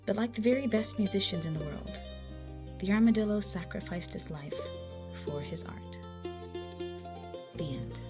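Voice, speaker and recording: A woman storyteller narrating a children's folktale in an expressive style. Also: mid-range voice, moderate pace, long pauses. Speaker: storyteller and host. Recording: music behind the voice.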